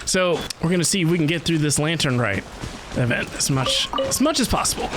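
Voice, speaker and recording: A man's voice: fairly high pitch, lively intonation, fairly quick, over music, poor audio. A man live streaming a video game, casually chatting with viewers in a playful, wisecracking way. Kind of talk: casual live stream gameplay reaction and chat.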